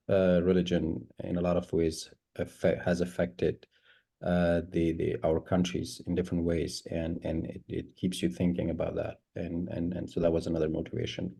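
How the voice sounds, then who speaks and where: Gravelly deep-voiced man from Afghanistan. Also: warm tone. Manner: halting, with many restarts and fillers. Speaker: fellowship member. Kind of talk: video call Q&A. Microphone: video call.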